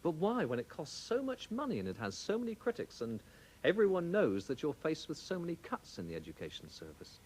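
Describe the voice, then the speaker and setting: A man's voice: on the high side, wildly swooping pitch, fairly quick, a little mellow, husky, low-quality recording. A British man presenting broadcast news and interviewing, in a conversational, questioning manner. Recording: TV studio broadcast.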